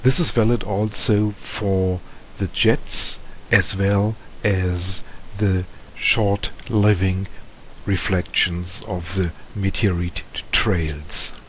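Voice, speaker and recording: A man with a German accent narrates a technical tutorial. His voice is fairly low and his delivery is very slow, with measured, halting phrases. There is clear noise behind the voice-over. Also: fairly even intonation, fairly bright tone, rough recording. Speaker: amateur radio presenter.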